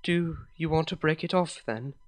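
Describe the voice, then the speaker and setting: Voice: mid-range voice, moderate pace, lightly acted. A reader plays an anxious female pony in a dramatic story reading. She is heartfelt and earnest, putting emotion into carefully formal wording. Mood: anxious, ashamed. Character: Octavia, anxious, heartfelt, well-spoken adult female pony. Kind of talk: dramatic fan fiction reading.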